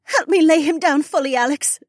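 A man voicing a fierce, distraught young phoenix woman, shrill and insistent, in an audiobook. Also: fairly quick, dry recording, clean studio sound. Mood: urgent. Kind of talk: audiobook character voice.

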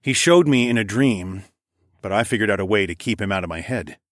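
A man's voice: fairly low pitch, expressive, brisk, no echo, studio quality, natural voice. A man voicing a confident, frank male dragon lord hero in an audiobook. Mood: frank, resolute. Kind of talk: audiobook character dialogue.

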